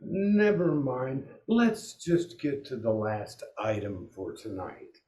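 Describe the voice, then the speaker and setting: An actor playing an exasperated 1940s radio announcer who hosts the show in a theatrical way. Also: male voice, mid-range voice, big pitch swings, takes it slowly, darkish tone. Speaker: host. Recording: echoey room with music behind.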